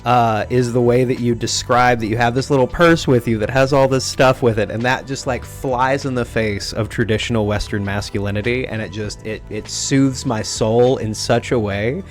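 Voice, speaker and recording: Earnest, animated young man from rural Texas. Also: medium pitch, normal speed, few pauses, soft background music. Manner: earnest, emotional, talks with his hands. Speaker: podcast host. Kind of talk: casual conversation.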